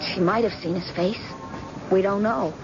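An actress playing a young, anxious and devoted daughter with earnest, dramatic delivery in an old-time radio drama. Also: female voice, medium pitch, moderate pace, close to an everyday voice. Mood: bitter, sorrowful. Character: blind man's devoted, anxious grown daughter. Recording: muffled, noisy old radio recording.

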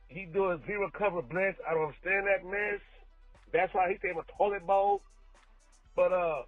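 A man in a casual, slangy sports rant on a voicemail, exclaiming and colloquial as an animated fan. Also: high voice, dry recording. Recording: muffled voicemail, music behind.

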